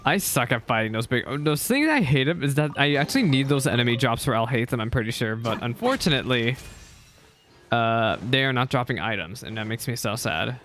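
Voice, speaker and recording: A young man commenting on his own gameplay for his viewers, excitable and quick to complain or cheer. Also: medium pitch, slight background noise. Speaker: gaming content creator. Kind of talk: live game reaction commentary.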